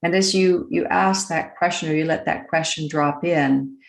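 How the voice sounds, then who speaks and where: A woman with a very low voice and a marked creak leads a guided meditation. She speaks gently and deliberately, leaving long pauses as she goes. The recording has a clearly roomy, echoing sound. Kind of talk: slow, unscripted guided meditation.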